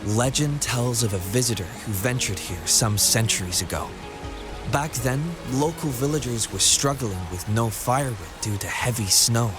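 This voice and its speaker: A man's voice: mid-range voice, normal speed, over music, natural voice. An actor playing a friendly local townsman in a video game, explaining a custom and an old legend. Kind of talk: game voice acting.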